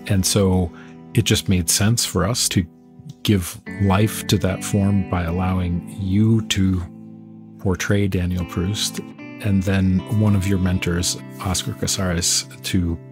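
A man hosting an interview podcast in a measured, conversational way. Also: sits low, little pitch movement, over music.

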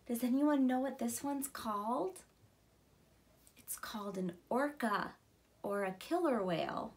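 Woman, thirties, early childhood read-aloud; high-pitched, unhurried, expressive and simple in her explanations. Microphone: camera a short distance away.

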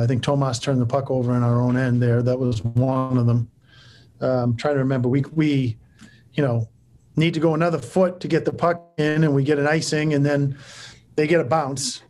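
A man's voice: medium pitch, a little mellow. A man in his forties, a hockey coach answering postgame press questions over a laptop video call from his office, plain-spoken with frequent restarts and fillers. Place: office with cinder-block walls.

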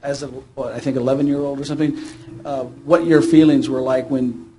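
A man's voice: medium pitch, steady tone, a little fast, dark tone, slight background noise, rough recording. A man from the audience putting a spontaneous, roundabout question to a panelist. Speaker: audience member asking a question.

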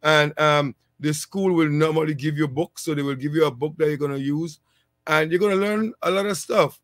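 Island-raised man in his thirties, vlog host, easygoing and rambling, fairly high voice, dry close headset sound. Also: a little fast, dry recording. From a small island. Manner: relaxed, rambling, many fillers. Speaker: channel host, HVAC technician. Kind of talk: casual advice vlog.

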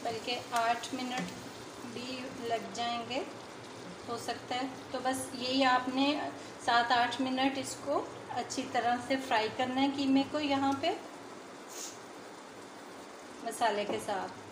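A woman's voice: high voice, steady tone, takes it slowly, noisy background. A woman hosting a home cooking video, chatty and explaining each step as she cooks at the stove. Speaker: home cooking channel host. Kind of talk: casual cooking demonstration. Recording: echoey kitchen with loud sizzling.

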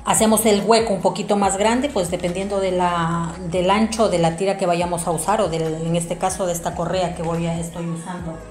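A woman's voice: fairly low pitch, fairly even intonation, brisk, few pauses, darkish tone. A woman giving an informal hands-on craft tutorial, explaining each step directly to viewers. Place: small domestic room indoors. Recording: roomy echo, music behind.

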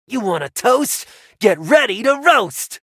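An actor voicing a brash heavy-metal dragon-riding knight in a video game, with a bombastic, theatrical delivery and drawn-out battle cries. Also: mid-range voice, very animated, a bit bright, husky, no room sound, shouting, studio quality, heavily acted. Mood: taunting.

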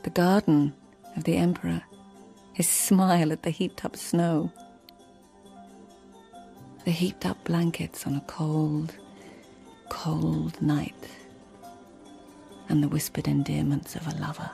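A woman's voice: sits low, level tone, slow pace, creaky, pauses often, mellow, faint music behind, full character voice. An actress playing a witty, well-read lady of an old Japanese imperial court in a radio drama, poised and lyrical. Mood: lyrical, reflective. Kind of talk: radio drama acting and literary narration.

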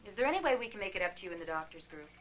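A woman's voice: mid-range voice, very animated, rapid-fire, a crowd in the background, close to an everyday voice, low-quality recording. An actress in her thirties playing an earnest, businesslike saleswoman in a 1980s training film. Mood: apologetic, eager. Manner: businesslike, eager to please. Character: earnest, persuasive young businesswoman in phone sales. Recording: 1980s film soundtrack, faint music.

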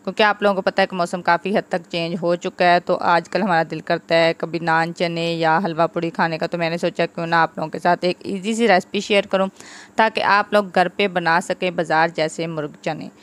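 Cooking-channel host: a woman with a lowish voice, chatty, walks viewers through each step. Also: steady tone, fairly quick. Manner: chatty, instructive, repeats "aap logon ne". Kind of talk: home cooking tutorial voice-over. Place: home kitchen.

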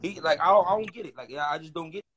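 Fast-talking young man, stammering restarts. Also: on the high side, a bit bright, slight background noise, rough recording. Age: 20s. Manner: stammering restarts, slangy, insistent. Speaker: livestream guest. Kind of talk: casual chat. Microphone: phone front camera.

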